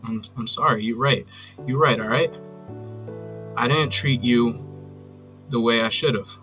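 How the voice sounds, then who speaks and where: An actor with an American accent playing a possessive, manipulative ex-boyfriend in an audio drama, coaxing and volatile. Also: male voice, on the high side, very animated, moderate pace, dull audio, faint music behind. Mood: remorseful pleading. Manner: volatile, coaxing with pet names, swearing. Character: possessive, manipulative abusive ex-boyfriend, pleading and menacing.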